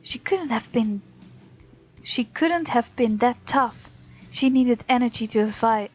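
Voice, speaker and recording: A woman with a fairly high voice reads a game's story aloud as a let's play host. Her delivery is casual and reactive. The recording is a bit dull and lo-fi, with faint music behind her. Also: takes it slowly, a little mellow, breathy edge. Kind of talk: reading game text aloud with casual reactions. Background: faint game music.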